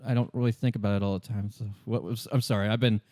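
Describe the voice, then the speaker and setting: Man playing a deep-voiced, weary police detective. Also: dry recording, clean studio sound, close to an everyday voice. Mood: weary, matter-of-fact. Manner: halting, deadpan. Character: tired, dry police detective partner, a man.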